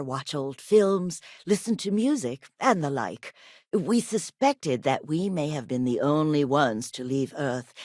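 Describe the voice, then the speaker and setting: A woman's voice: low voice, dry recording, studio quality. An actress playing an earnest, gracious woman historian and teacher in a video game, articulate and polite. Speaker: video game character voice actor. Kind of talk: scripted game character dialogue.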